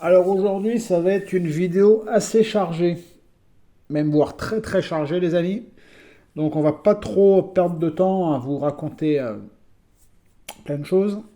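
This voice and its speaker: A man's voice: sits high, measured pace, mellow. A man from France presenting his toy vehicle collection in a casual video, chatty and full of hesitations. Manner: chatty, hesitant with many fillers.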